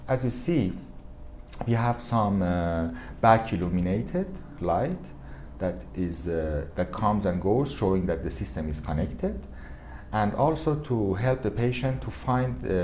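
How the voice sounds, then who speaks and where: Male product demonstrator, lowish mellow voice, halting step-by-step explanations, slight room noise. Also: expressive. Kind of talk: hands-on product walkthrough. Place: indoor room with equipment demo wall.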